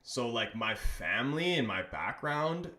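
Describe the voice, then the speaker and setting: A young man from Canada hosting a live football watch-along, with a mid-range voice. He rambles casually with chat and breaks into exasperated, louder outbursts at the play. It sounds like a streamer's desk mic. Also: medium pitch, big pitch swings, unhurried, slight background noise. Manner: chatty, frustrated, rambling, bursts of loud exasperation. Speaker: livestream watch-along host.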